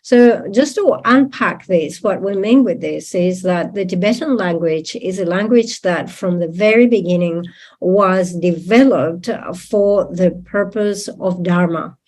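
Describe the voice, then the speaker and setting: A woman whose first language is not English, interpreting a Buddhist teaching in a deliberate manner and checking in with listeners. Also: lowish voice, darkish tone. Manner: deliberate, with tag questions to listeners.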